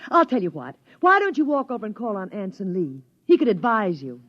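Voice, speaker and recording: An actress playing a cheerful, sensible, coaxing suburban wife in a 1950s radio drama. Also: female voice, mid-range voice, huge pitch swings, a little fast, a bit bright. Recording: dull vintage radio recording.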